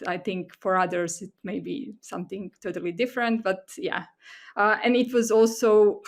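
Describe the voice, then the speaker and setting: Woman with a Croatian accent, explaining hesitantly. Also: medium pitch. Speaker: guest expert, assistant professor. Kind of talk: conversational podcast interview.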